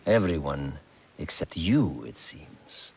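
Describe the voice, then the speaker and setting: A man's voice: a bass voice, animated, slow pace, darkish tone, husky, exaggerated voice. A man voicing an arrogant, ruthless slaver villain in a video game, pompous and taunting in manner. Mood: smug menace. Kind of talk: dramatic video game acting.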